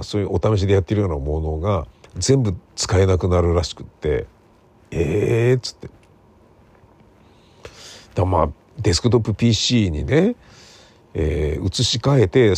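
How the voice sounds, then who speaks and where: Low, gravelly man in his sixties. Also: a little mellow. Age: 60s. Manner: rambling, musing, colloquial. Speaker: solo podcast host. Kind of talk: casual solo free talk.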